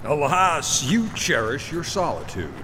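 An actor playing a knowing guiding spirit who teases slowly and dryly in a radio drama. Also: male voice, on the high side, wildly swooping pitch, noisy background. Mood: wry. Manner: slow, dry and teasing. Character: wry male guiding spirit, slow and knowing.

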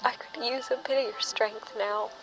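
An actress in a radio play playing a weary, awed young mother, speaking in a hushed, deliberate way. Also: on the high side, fairly even intonation, natural voice, rough recording. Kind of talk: poetic radio play monologue. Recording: clear music under the voice.

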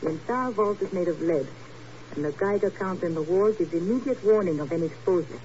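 An actress playing a cool, prickly foreign hospital vault keeper in a 1950s radio drama, stiffly polite and precise. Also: female voice, on the low side, brisk, dark tone, close to an everyday voice. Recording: muffled, noisy old radio recording.

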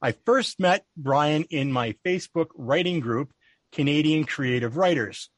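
A man hosting a podcast, reading a scripted introduction and then welcoming his guest, with a measured, deliberate delivery. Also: on the high side, animated, no room sound. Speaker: podcast host.

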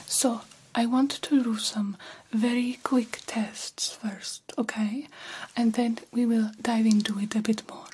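A woman voicing a very old, self-assured vampire doctor in a soft, formal, reassuring ASMR whisper. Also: a little high, fairly even intonation, takes it slowly, hardly any pauses, a little hiss or hum. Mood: businesslike, gentle. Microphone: close microphone.

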